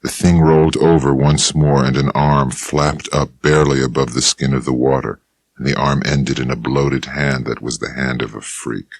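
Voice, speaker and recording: A man narrates a first-person audiobook with a very deep, low-pitched voice. He reads in a laconic, dry and unhurried way. The studio sound is close and dead, with no room in it at all. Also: fairly even intonation, husky, no echo.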